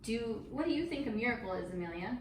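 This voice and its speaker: A woman's voice: fairly high pitch, expressive, quick pace. A woman teaching children a Bible story in simple, encouraging words, with dramatic emphasis as she tells it. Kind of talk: animated storytelling and chat with children. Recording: roomy, distant mic, faint noise.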